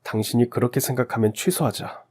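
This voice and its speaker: A considerate new husband, read by a man, calm and supportive. He talks in a mid-range, mellow voice for a radio drama. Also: medium pitch, brisk, husky, lightly acted. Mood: reassuring. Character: caring, supportive newlywed husband.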